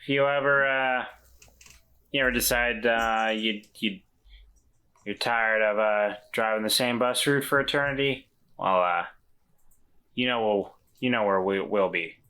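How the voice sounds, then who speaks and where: A man playing an earnest, polite traveler who talks haltingly and stammers over his words. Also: fairly low pitch, bright, forceful tone, clean tone. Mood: earnest, hesitant.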